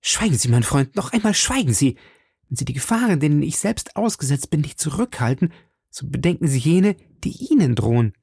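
An earnest young woman in an audiobook, as the narrator puts her on, pitched up from the natural voice. The delivery is urgent, formal and pleading, with a soft, mellow tone and a close, dry sound. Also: big pitch swings, fairly quick, vocal fry, slightly rough, dry recording, heavily acted. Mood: firm, exasperated. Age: young adult. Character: earnest, anxious young woman guarding dangerous secrets. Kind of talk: audiobook character dialogue.

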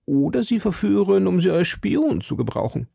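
Guy doing a nervous middle-aged landlord for an audiobook, high voice, hesitant and humble, dry sound. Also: very animated, moderate pace, creaky, mellow, breathy edge, no echo, exaggerated voice. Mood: earnest, confiding. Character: timid, deferential middle-aged townsman and landlord, anxious. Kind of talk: audiobook character dialogue.

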